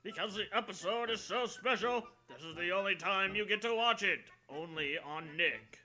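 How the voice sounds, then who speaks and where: A man's voice: high-pitched, normal speed, pushed and bright, faint music behind, low-quality recording. A man co-hosting with casual comic banter, sarcastic, profane and given to mock drama. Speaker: co-host reacting to fan fiction.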